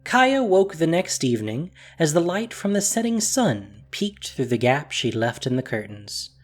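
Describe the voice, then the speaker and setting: A man narrating a fantasy audio drama with expressive storytelling. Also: fairly high pitch, a little slow.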